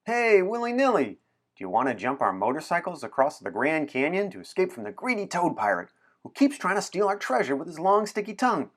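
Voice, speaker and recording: A man puts on an excitable little girl from a storybook. The voice is loud and raised, swooping in pitch. She rattles out imaginative ideas in breathless, eager run-on sentences. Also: fairly quick, exaggerated voice. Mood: excited, playful.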